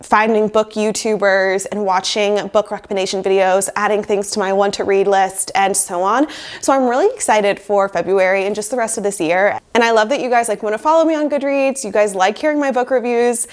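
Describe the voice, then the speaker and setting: A woman's voice: medium pitch, fairly quick. A young woman in her twenties, sick with a cold and sounding nasal, chatting upbeat to camera in a small room on a lapel mic, with lots of "like" fillers. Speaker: lifestyle vlogger. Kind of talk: casual chat to camera. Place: small bedroom office indoors. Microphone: lapel mic plugged into a phone.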